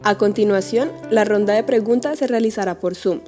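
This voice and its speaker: A woman reading a scripted event announcement in a smooth, formal manner. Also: mid-range voice, fairly quick, mellow. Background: guitar music.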